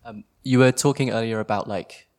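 A man's voice: on the low side, fairly even intonation, brisk, darkish tone. A man in the audience of a live panel casually asking a question.

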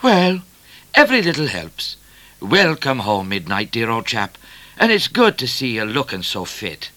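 A man's voice: medium pitch, wildly swooping pitch, a little slow, sharp tone, exaggerated voice. A man voicing a cheerfully mocking, thin male outlaw in a storybook reading. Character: gleeful, taunting bushranger, a long thin male outlaw. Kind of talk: storybook character voice.

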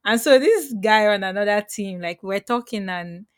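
A woman's voice: medium pitch, animated, moderate pace, dry recording. Thoughtful woman host, dry sound. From Nigeria. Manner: reflective, asks long thoughtful questions. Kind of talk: casual chat.

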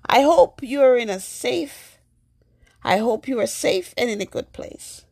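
A woman from Jamaica hosting her own podcast, talking in a soft, slow and earnest reflective monologue. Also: fairly high pitch, animated, gravelly, slightly rough. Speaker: podcast host.

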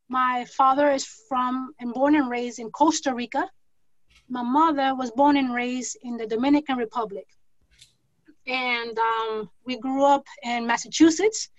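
A woman in her forties from New York City, a guest artist introducing herself in a thoughtful, rambling way with pauses. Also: high voice, fairly even intonation, dry recording. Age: 40s. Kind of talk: casual self-introduction. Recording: dull lo-fi sound.

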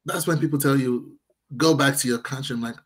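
A man in his thirties from Nigeria, with a partly Americanized Nigerian accent, talking emphatically in casual conversation. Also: mid-range voice. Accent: Nigerian-inflected, partly Americanized. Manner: emphatic and animated, quick restarts.

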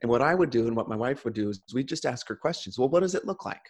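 A man's voice: mid-range voice, lively intonation, quick pace, slightly rough. A man in casual conversation, talking earnestly with frequent restarts.

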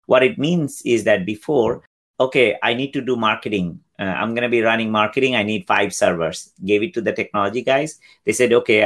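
Bangladeshi-born man, fifties, low voice, explanatory interview guest. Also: fairly bright tone. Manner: explanatory, acts out quoted exchanges. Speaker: guest, cloud solutions architect. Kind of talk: interview answers.

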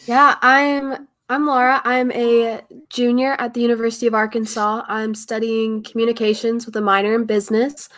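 A woman's voice: fairly high pitch, flat delivery, moderate pace, few pauses, fairly bright tone. A woman in her twenties from Missouri, a podcast guest chatting casually and with self-deprecating humor. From Missouri, USA. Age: 20s. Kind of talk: casual interview.

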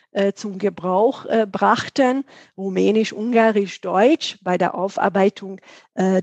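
A woman interviewer asks her questions politely and formally. Her voice sits at a medium pitch, and the recording is dry and close, with no room sound. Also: darkish tone, slightly rough, no room sound.

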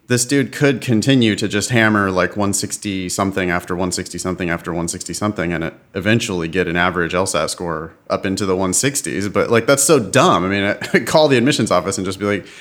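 A man's voice: fairly low pitch, a little fast. A man co-hosting a test-prep podcast, chatting casually in a blunt, exasperated way and laughing often. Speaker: podcast co-host.

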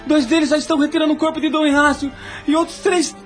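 A woman's voice: sits high, steady tone, quick pace, faint music behind, natural voice. A woman voicing an alarmed, breathless loyal manservant in a radio drama. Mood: urgent, alarmed.

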